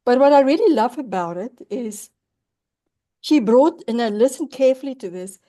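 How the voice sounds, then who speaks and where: A woman's voice: a little high, animated, moderate pace, dark tone. A woman hosting a video-call interview, introducing her guest in an effusive, emphatic way. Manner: effusive, emphatic, spiritual phrasing. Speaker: host.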